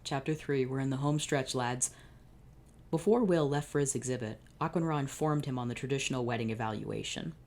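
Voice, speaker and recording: A woman's voice: rumbling low, fairly quick, a little mellow. A woman hosting her channel, reading fan fiction aloud with exasperated, sardonic, swearing asides. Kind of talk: comedic dramatic reading with asides.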